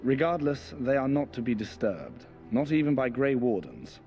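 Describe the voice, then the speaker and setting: A curt soldier on guard in a fantasy game. His mid-pitched voice is dismissive and businesslike, with faint music underneath. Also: sing-song, moderate pace, darkish tone, lo-fi sound. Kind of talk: fantasy video game dialogue acting.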